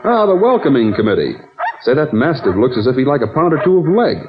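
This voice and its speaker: Man acting a lively, wisecracking young bridegroom. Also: mid-range voice, quick pace, over music, lightly acted. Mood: playful, mock-grand. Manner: flippant, mock-grand, sardonic. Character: wisecracking, jealous young bridegroom from New York. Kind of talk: old-time radio drama acting. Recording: dull lo-fi old radio recording.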